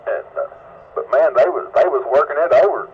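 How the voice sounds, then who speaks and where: A man's voice: mid-range voice, lively intonation, fairly quick. An older man with a Southern US accent rambles in a folksy, casual way as an amateur radio operator. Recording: dull ham radio audio with faint noise.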